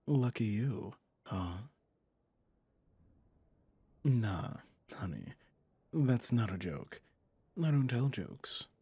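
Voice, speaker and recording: A man playing a flirtatious, possessive mafia boss in an intimate ASMR roleplay, teasing and menacingly smooth, with a husky, breathy delivery. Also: very animated, dark tone, dry recording, heavily acted. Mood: mocking, menacing. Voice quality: low, husky, often dropping to a whisper. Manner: slow, teasing, intimate, with pet names. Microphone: close studio microphone, dry.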